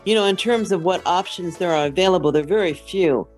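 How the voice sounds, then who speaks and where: A woman's voice: on the low side, fairly quick, darkish tone, soft background music, poor audio. A middle-aged woman chatting casually and conversationally as a podcast co-host.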